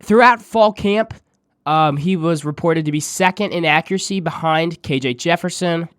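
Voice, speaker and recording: A man's voice: a little high, moderate pace, clean tone, dry recording, studio quality. Nasal-sounding man hosting a sports podcast. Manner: steady, stat-heavy analysis. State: under the weather. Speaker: podcast host. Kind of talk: sports preview monologue.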